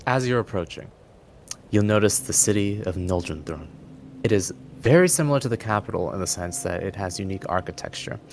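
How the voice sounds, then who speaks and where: Low-voiced man narrating a game. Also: expressive, a little fast, a little hiss or hum. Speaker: game master. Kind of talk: narrating a tabletop game scene.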